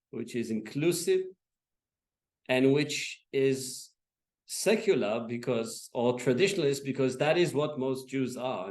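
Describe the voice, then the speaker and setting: A man speaks at an academic panel in a mid-range voice. He is measured and thoughtful, pausing on fillers and restarting phrases as he works through his argument. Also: mid-range voice. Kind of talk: lecture remarks.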